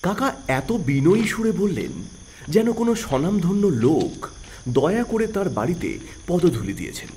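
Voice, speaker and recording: A male narrator with a fairly high voice tells a scripted story dramatically. His pitch rises and falls very widely, over faint noise and insect-like ambience. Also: sing-song, moderate pace, a little mellow.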